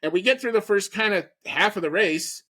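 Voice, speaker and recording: A man's voice: sits high, a little fast, bright, forceful tone. A man hosting a podcast, giving a casual, opinionated and emphatic recap of a sporting event. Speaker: podcast host.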